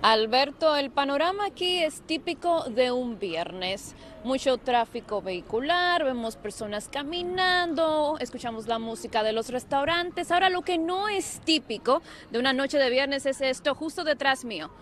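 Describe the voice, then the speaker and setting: A young woman field reporter delivers a live report with a clear, energetic on-air cadence. Her voice sits high and bright, and a faint hum of street noise runs behind her. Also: normal speed, hardly any pauses. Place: outdoors on a city street at night. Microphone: handheld mic.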